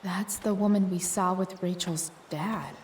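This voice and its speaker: Woman acting a snarky teenage punk girl, slow delivery. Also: on the low side, steady tone, darkish tone, a little hiss or hum, close to an everyday voice. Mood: wry musing. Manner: sarcastic, casual, slangy. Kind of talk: video game dialogue and inner monologue.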